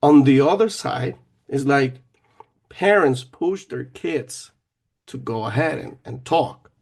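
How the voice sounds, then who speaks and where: Gravelly-voiced man, hesitant speech. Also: medium pitch, animated, takes it slowly, darkish tone. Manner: hesitant, with frequent restarts. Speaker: teacher of children. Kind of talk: casual conversation.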